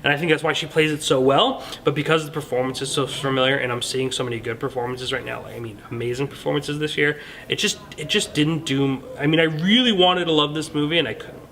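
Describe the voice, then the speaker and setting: A man's voice: fairly high pitch, steady tone, fast, hardly any pauses, a bit bright. A man talking through his opinions on a film in a casual, earnest, conversational way, hedging as he goes. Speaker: film review show host.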